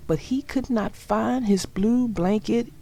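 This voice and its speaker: A woman narrating a children's storybook aloud with deliberate, expressive phrasing for young listeners. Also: fairly high pitch, creaky, faint music behind.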